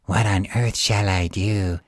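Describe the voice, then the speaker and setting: A man's voice: on the low side, fairly even intonation, takes it slowly, no echo, studio quality, pitched above the performer's own voice. A man voicing a fussy, flustered female ogre in a storybook, with fretful, motherly phrasing. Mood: flustered, anxious. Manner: fretful exclamations, coaxing and motherly. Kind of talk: storybook character voice.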